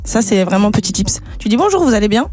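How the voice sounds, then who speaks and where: A woman's voice: medium pitch, very animated, quick pace, a little mellow. A woman on a radio show giving confident dating advice. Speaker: guest. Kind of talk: casual radio chat.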